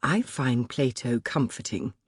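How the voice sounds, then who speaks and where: A reader voicing a shy, gentle young man at court who speaks softly and hesitantly, lower than the narration. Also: expressive, unhurried, slightly rough, dry recording, clean studio sound. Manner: soft and hesitant.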